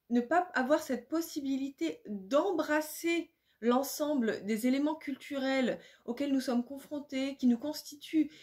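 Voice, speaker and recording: A woman hosting a video, speaking in a reflective, earnest and explanatory way with measured phrasing. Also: fairly high pitch. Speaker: book-review video host. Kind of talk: reflective book recommendation to camera, with some read-aloud.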